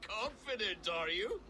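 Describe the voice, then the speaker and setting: An actor playing a boastful, jovial Viking drinker in a video game, teasing and theatrical. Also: male voice, high voice, pushed and bright, exaggerated voice. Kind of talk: video game character acting. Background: ambient noise.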